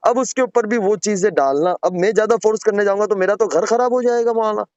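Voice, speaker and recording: Male caller, slightly high voice, deferential and earnest in explaining himself, dull phone-call recording. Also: quick pace, a little mellow, clean tone, dry recording. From Gujarat, India. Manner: deferential, earnest, repeatedly addressing the listener respectfully. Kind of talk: private phone conversation, pleading explanation.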